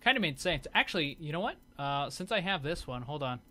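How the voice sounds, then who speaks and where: A man's voice: fairly high pitch, very animated, fairly quick, sharp tone. A man giving casual commentary over game footage as a video host.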